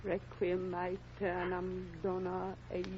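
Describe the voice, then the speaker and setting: Slow, gentle, solemn nun. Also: female voice, lowish voice, completely flat delivery. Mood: solemn prayer. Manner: soft, slow and reverent. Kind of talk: 1930s radio drama acting. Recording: dull vintage radio recording, clear noise.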